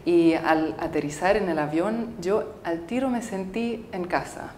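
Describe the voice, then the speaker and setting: Woman, native English speaker, thirties, low voice, halting thoughtful answers, echoey room, faint rumble. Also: a little mellow. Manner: thoughtful, halting, with frequent fillers and restarts. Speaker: filmmaker interviewee. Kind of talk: casual interview answers.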